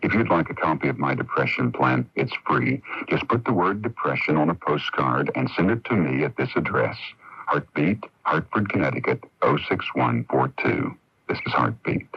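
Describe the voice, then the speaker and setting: A man's voice: rumbling low, expressive, moderate pace, husky, no echo. A man speaking plainly and directly in a scripted inspirational radio message. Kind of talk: scripted counselling monologue. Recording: dull lo-fi 1980s AM radio recording.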